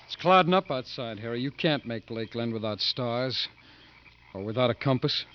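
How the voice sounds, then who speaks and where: A man's voice: medium pitch, expressive, moderate pace, natural voice. A man acting as a tough, defiant prison trustee in a 1950s American radio suspense drama, terse and hard-boiled. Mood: firm defiance. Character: tough, defiant male prison trustee who narrates his story. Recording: muffled, noisy 1950s radio recording.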